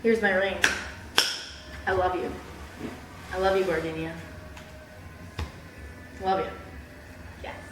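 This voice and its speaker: A young woman chatting casually in a personal vlog, with a bubbly, animated, giggly way of exaggerating things. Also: fairly low pitch, takes it slowly, long pauses. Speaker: vlogger. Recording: roomy echo, distant mic, background hiss.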